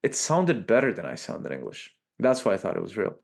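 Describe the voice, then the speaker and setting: A man with a Serbian accent, a podcast guest talking in a loose, animated way and tagging lines with "right?". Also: lowish voice, brisk, creaky. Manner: animated, peppers speech with "like" and "right?". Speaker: podcast guest, tech company chief. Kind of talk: casual interview talk.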